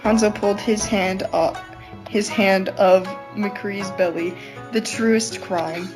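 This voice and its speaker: Woman narrating fanfic, mid pitch, amateur podcast read, music going behind her. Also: steady tone, slow pace. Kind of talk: amateur fan fiction narration.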